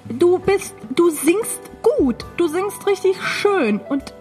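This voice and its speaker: A woman's voice: very high-pitched, expressive, takes it slowly, darkish tone, soft background music. A woman co-hosting a podcast in casual chat, with a playfully dramatic way of talking. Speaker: podcast co-host.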